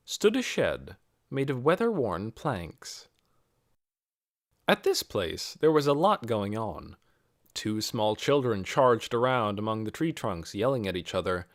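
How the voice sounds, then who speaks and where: Male audiobook narrator for children's fiction, expressive and lively in pitch, very dry recording. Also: dry recording. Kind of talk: scripted narration of a children's novel.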